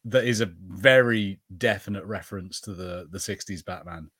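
A man's voice: low-pitched, normal speed, fairly bright tone, no room sound. Man in dry, close sound, bantering. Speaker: podcast co-host. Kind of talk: casual film watch-party banter.

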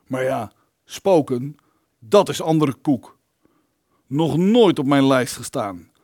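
A pompous male politician trapped in a dungeon, acted by a man for a radio play. His voice sits fairly high and is closely, dryly recorded. He is indignant and self-justifying, weighing his words slowly. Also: a little mellow, no room sound, studio quality. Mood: indignant, self-important. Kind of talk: Dutch radio play acting.

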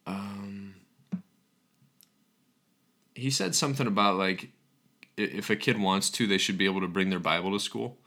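A man's voice: fairly low pitch, normal speed, pauses often, pushed and bright. A young man hosting a casual solo podcast, groggy after just waking up, rambling with frequent ums and restarts. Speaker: podcast host.

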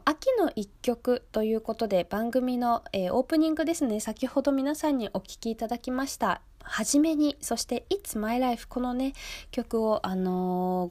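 A woman's voice: fairly high pitch, mellow. A woman presenting a radio show in a polite, chatty, conversational way. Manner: polite, chatty, often seeking agreement.

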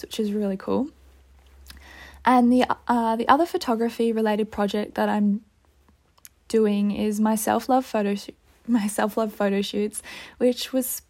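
A woman's voice: on the high side, a little mellow. A young woman hosting a solo podcast, earnest and upbeat, her throat a little dry. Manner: earnest, upbeat, with light laughs. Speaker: podcast host.

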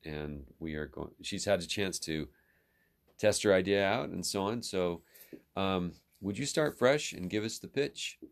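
A man with an extremely deep voice hosts a conversational interview. There is plenty of vocal fry in his delivery. He speaks like an instructor feeling out each question as he goes. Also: a little slow. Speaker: podcast host and instructor.

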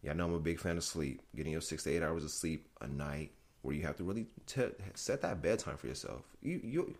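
Very deep-voiced male podcast host. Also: animated, brisk. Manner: casual, speaks directly to listeners. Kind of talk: solo podcast talk.

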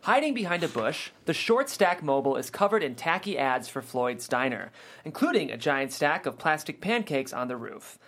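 Casting: table-read narrator for action lines, very expressive intonation, medium pitch. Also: moderate pace. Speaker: narrator reading stage directions.

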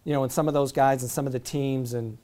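Guy hosting an interview, warm mellow voice, rambly questions. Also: medium pitch, fairly even intonation, fast. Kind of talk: casual interview.

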